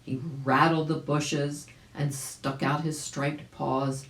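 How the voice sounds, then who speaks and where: An older woman around her seventies reading a children's story aloud, expressively and deliberately, indoors a few feet from the camera. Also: low voice, little pitch movement. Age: older, about 70s. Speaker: volunteer storybook reader. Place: small indoor room at home. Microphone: camera a few feet away. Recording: roomy home recording.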